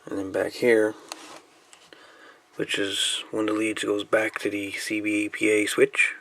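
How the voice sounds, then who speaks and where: A man's voice: lowish voice, completely flat delivery, unhurried, gravelly, a bit bright. A man casually talking through a hands-on repair at the bench, folksy and thinking aloud. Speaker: hobbyist radio repairman presenting a repair.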